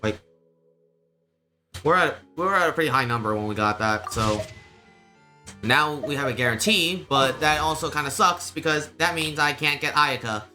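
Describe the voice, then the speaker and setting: A man giving casual live gameplay commentary as a streamer, with mild, low-key, resigned reactions. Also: moderate pace, pauses often, sharp tone. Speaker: game streamer. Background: game music.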